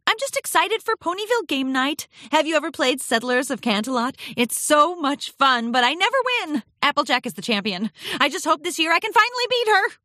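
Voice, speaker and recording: A woman voicing an anxious, eager young pony princess in a children's audiobook, talking in a nervous, gushing, halting way. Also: high-pitched, lively intonation, a bit bright, dry recording, clean studio sound, pitched above the performer's own voice. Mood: forced cheer.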